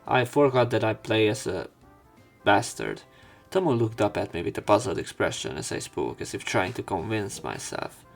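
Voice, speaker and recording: A man with a fairly low, creaky voice narrates a visual novel for a let's play. He reads the text aloud and slips into casual, wry asides, halting as he goes. Faint music plays behind him. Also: sing-song. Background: faint game music.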